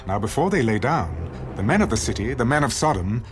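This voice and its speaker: A male narrator reads scripture as solemn audio theater. His medium-pitched voice is expressive and widely inflected, telling the story with measured gravity over a clear musical score. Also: faint music behind.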